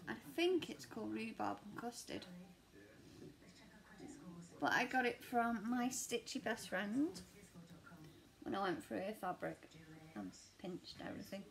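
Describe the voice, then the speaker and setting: A woman in her thirties with a slightly croaky voice, chatting casually at home about her stitching projects in a rambling, self-deprecating way. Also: a little high. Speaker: cross-stitch vlogger. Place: living room at home, seated on a sofa.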